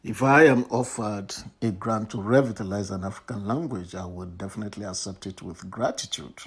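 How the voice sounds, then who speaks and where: A Nigerian man in his fifties, with Eloyi as his mother tongue, speaks English with a Nigerian accent. His voice is low and rough-edged as he delivers a prepared academic answer. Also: brisk. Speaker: professor of literature.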